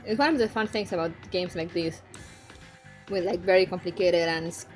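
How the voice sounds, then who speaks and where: A woman's voice: on the low side, moderate pace, faint music behind, rough recording. Giggly streamer woman with a Spanish accent. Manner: giggly and rambling, often laughing between lines. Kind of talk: casual live-stream chat with viewers.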